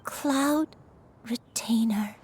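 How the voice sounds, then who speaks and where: A woman playing a gentle, remorseful old grandmother who is secretly a crane spirit, solemn and halting, in a video game. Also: on the high side, a little hiss or hum. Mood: dawning realization.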